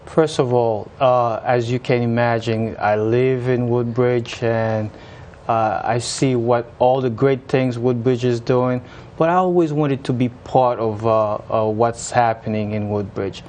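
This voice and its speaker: A man from Haiti with a Haitian accent, a municipal engineer chatting as a guest in an interview and often pausing on fillers. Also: mid-range voice, steady tone, a little mellow, a little hiss or hum. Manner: hesitant, many uh fillers and restarts. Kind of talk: casual interview chat.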